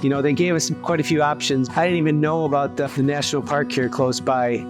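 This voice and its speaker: A man's voice: on the high side, steady tone, fairly quick, over music. A man from Montana with an American accent, giving a casual, effusive testimonial as an event attendee. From Montana, United States.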